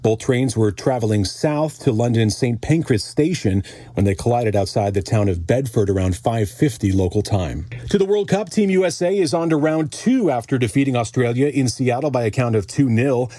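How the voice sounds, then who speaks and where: An American man reading a scripted radio news bulletin as anchor, with a calm, measured, authoritative yet conversational delivery. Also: mid-range voice, dry recording, studio quality.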